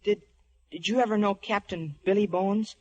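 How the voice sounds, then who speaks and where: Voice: medium pitch, steady tone, moderate pace, mellow, muffled recording, lightly acted. A male actor playing an eager, nervous, polite young boy in a radio adventure, earnest and stammering. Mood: timid, polite. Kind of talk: old-time radio adventure acting.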